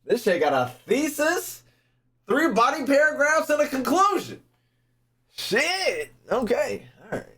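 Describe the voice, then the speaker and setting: A woman's voice: high voice, sing-song, unhurried, fairly bright tone. A woman giving casual, exclamatory gaming reaction commentary full of slang and swearing. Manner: exclamatory, profane, informal. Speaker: gaming content creator reacting to story readings.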